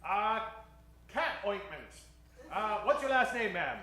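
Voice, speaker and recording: High-pitched showman host, echoey noisy stage. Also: big pitch swings, slow, sharp tone. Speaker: host of a live improv radio show. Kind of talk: theatrical old-time radio announcer addressing a live audience. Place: echoey venue in front of a live audience. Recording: noisy, echoey live stage recording from far off.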